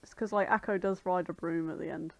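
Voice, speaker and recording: A woman's voice: on the low side, fairly even intonation, a little fast, a little mellow, dry recording. A woman co-hosting a podcast, chatting casually and informally as she chimes in. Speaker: podcast co-host. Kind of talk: casual chat.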